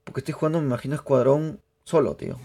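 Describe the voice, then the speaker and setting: A young man from Peru, chatting excitably to viewers through live gameplay commentary. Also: medium pitch, rapid-fire, vocal fry. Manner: chatty, excitable, laughs often, addresses viewers. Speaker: streamer.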